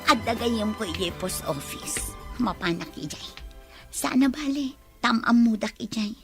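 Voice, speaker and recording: A scheming young woman in a radio drama. Her voice sits fairly high and swoops freely as she mutters her plans, with faint music underneath. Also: animated, unhurried. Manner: muses aloud to herself. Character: sly, scheming young woman plotting a parcel theft.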